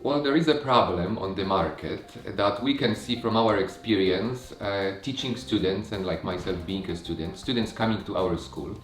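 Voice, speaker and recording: A man who manages a language school, speaking English as a second language in a relaxed chat, often pausing with fillers. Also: lowish voice, roomy sound, soft background music. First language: not English. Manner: animated, halting with many fillers. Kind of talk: casual conversational chat.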